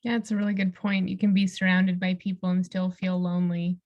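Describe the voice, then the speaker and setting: A woman's voice: lowish voice, completely flat delivery, a little fast, darkish tone, dull audio. A woman co-hosting a podcast in a conversational style. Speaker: podcast co-host.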